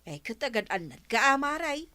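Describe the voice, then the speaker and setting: A woman's voice: high-pitched, sing-song, normal speed, sharp tone, clear, resonant tone, no room sound, exaggerated voice. An actress with an Ilocano accent playing a nagging, suspicious woman in a radio comedy-drama. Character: nagging, suspicious female friend of the heroine.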